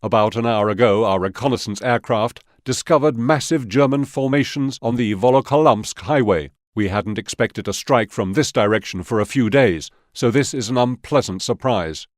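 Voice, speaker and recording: An actor playing a stern Soviet army officer giving scripted mission briefings in a war game. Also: male voice, mid-range voice, moderate pace, few pauses, no echo, studio quality, close to an everyday voice. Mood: grave, commanding.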